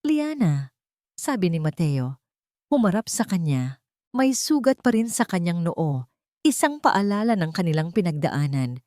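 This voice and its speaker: A woman reads a dramatic story as its narrator. Her voice sits fairly low and moves with lively intonation, sentimental and measured. The recording is dry and free of room sound. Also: no echo.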